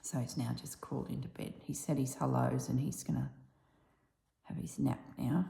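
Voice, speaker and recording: An Australian woman with a husky, slightly strained voice chatting casually while she stitches, softly dropping toward a whisper at times. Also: extremely low pitch, steady tone, moderate pace. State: voice strained from yelling. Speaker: embroidery vlogger. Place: tiled room with little on the floor. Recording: echoey tiled room.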